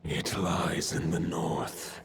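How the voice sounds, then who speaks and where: An actor playing an ancient, solemn wolf spirit in a video game, speaking gravely and formally with a gravelly voice. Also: male voice, deep voice, flat delivery, takes it slowly, heavily acted. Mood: grave, weary. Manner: grave, stately, formal speech. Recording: heavy noise and ambience behind voice.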